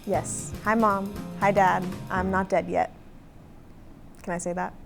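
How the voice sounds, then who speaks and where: A woman's voice: mid-range voice, flat delivery, a little slow, vocal fry. A young woman from Arkansas, a college swimmer, giving casual interview answers. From Arkansas, United States. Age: college freshman, about 18. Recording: music playing behind the voice.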